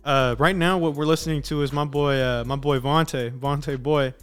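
A young man with a slightly raised pitch talks in a loose, easygoing way, dropping "you know" into almost every sentence. A beat plays quietly behind him while he chats. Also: steady tone, normal speed, clear, resonant tone. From Sacramento. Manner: laid-back, says "you know" constantly. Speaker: rapper and podcast guest. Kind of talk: casual chat. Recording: music playing in the background.

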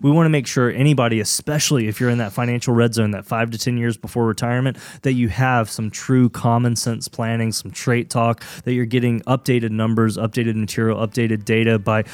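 A man co-hosting a radio show, giving friendly, pitchy calls to action. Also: fairly even intonation, fairly quick, few pauses, darkish tone, smooth, no echo. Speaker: radio co-host. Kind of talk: casual radio talk with ad reads.

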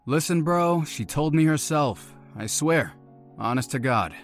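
An actor playing a pushy, needling best buddy of about thirty in an audio drama, brash and blunt in manner. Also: male voice, fairly high pitch, expressive, a little slow, faint music behind. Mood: persuasive, feigned concern. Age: around thirty.

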